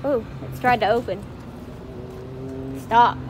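A woman exploring a closed store outdoors with a friend, chatty and quick to laugh. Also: fairly high pitch, fairly even intonation, music in the background. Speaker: exploring companion. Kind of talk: casual exploring chat. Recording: outdoor traffic noise.

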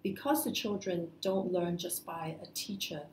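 A woman's voice: on the low side, moderate pace, a little mellow, roomy sound, slight background noise, low-quality recording. A woman from Hong Kong, a school founder, giving earnest, explanatory answers in a live interview. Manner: earnest, explanatory, with many fillers and restarts.

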